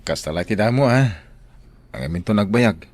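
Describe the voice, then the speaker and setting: A man's voice: lowish voice, expressive, normal speed, darkish tone. An actor playing a polite young man who yearns for his family, in an Ilocano radio drama. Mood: eager.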